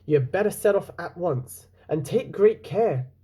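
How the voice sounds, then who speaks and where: A man voicing a gracious female lake goddess, soft and calm, in a storybook read-aloud. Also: breathy edge, exaggerated voice. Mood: gentle, commanding. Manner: soft, low and calm, measured.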